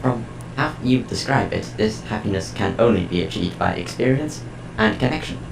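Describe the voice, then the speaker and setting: A man performs a calm, curious artificial intelligence with a deep, heavily creaking voice. It speaks in formal, precise sentences, yet its pitch moves expressively. The voice echoes over prominent music, as in a science fiction audio drama. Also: normal speed, exaggerated voice. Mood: reflective, measured.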